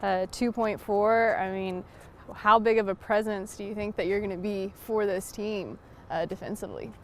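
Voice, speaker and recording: A woman's voice: mid-range voice, steady tone, moderate pace, slight background noise. Chatty young woman sports reporter. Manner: friendly, chatty questions with some fillers. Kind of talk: interviewing players and coaches.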